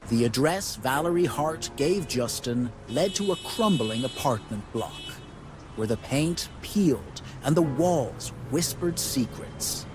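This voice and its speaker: An actor playing a brooding narrator, reading scripted noir mystery narration over music. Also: male voice, medium pitch, lively intonation, takes it slowly, rough recording.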